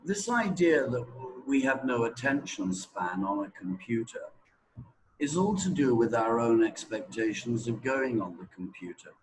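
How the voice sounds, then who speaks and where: A man's voice: medium pitch, big pitch swings, a little slow, slight background noise, low-quality recording. A man giving explanatory interview answers as a teacher, emphatic and repeating words for stress.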